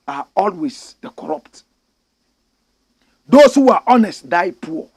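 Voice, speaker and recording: Male commentary host, higher voice, preachy and emphatic, talks straight to his audience. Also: slightly rough. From Ghana. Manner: preachy, emphatic, addresses listeners directly. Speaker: political commentary show host. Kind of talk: impassioned solo political commentary.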